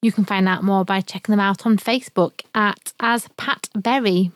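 A woman's voice: medium pitch, fairly even intonation, normal speed, slight background noise. A woman co-hosting a music podcast, casually back-announcing tracks with light humor. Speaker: podcast co-host.

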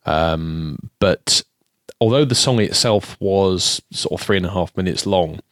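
A man's voice: low-pitched, unhurried, no echo. A man in his forties co-hosting a podcast, chatting casually with hesitant ums and dry jokes. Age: 40s. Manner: rambling, hesitant with many ums, dry joking. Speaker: podcast co-host. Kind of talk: casual chat.

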